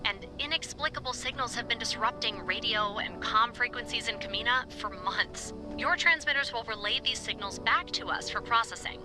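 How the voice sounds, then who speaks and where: Woman voicing upbeat scientist lady in a video game, highish voice, rambles a bit, friendly, music in back. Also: normal speed, few pauses, close to an everyday voice. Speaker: supervisor on walkie-talkie. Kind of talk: naturalistic video game dialogue.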